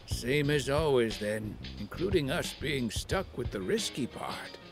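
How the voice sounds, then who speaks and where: A man's voice: medium pitch, expressive, unhurried, vocal fry, music in the background. A man playing a cocky, grumbling young demon in a video game cutscene.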